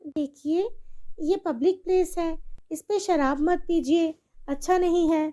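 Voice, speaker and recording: A woman playing an earnest, polite young working woman in an animated moral story. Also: extremely high pitch, unhurried. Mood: polite, pleading. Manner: pleading, polite.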